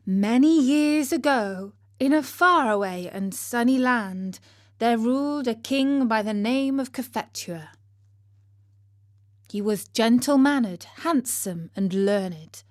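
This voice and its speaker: Expressive woman storyteller, dry sound. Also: a little high, dry recording. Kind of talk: scripted storybook narration for listeners.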